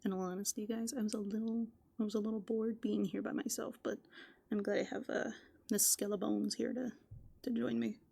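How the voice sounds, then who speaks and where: A soft-spoken woman doing rambling, playful ASMR as a raccoon, musing aloud and often slipping into whispers. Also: mid-range voice, little pitch movement, fairly quick, gravelly, breathy edge. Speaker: ASMR host. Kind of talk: furry roleplay ASMR, improvised rambling.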